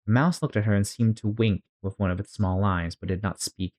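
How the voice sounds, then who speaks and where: A man reading a children's story aloud as host, in an expressive, theatrical way. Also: lowish voice, brisk.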